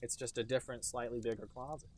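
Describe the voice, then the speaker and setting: A man's voice: mid-range voice, fairly even intonation, fast, crowd noise. A man hosting a podcast in casual, sarcastic comedic banter, joking in a hammy way. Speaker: podcast host.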